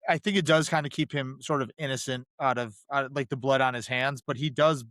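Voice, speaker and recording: A man's voice: medium pitch, steady tone, a little fast, fairly bright tone, dry recording, clean studio sound. Mid-voiced man, hesitant casual podcast talk. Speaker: podcast host. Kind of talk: casual film discussion.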